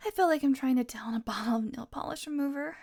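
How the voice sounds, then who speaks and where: High-voiced sardonic vampire woman, played by an actress. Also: fast talker, darkish tone. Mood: disgusted. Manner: sarcastic, teasing, exasperated sighs. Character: sardonic, haughty female vampire on the run. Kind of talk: audio roleplay acting, spoken to the listener.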